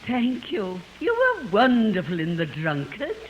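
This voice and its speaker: A woman's voice: mid-range voice, big pitch swings, measured pace. A woman playing a polite, formal lady admirer in a 1950s radio comedy. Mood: polite admiration. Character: polite lady autograph seeker, gushing admirer. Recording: dull, very noisy old radio recording.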